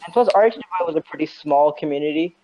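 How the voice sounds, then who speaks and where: A man's voice: fairly high pitch, very fast, warm tone, a little hiss or hum. A young man from Saudi Arabia chatting casually on a podcast, rambling and hesitant with lots of fillers and some dry teasing. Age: young adult. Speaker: podcast co-host. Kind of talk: casual chat. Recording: dull lo-fi sound.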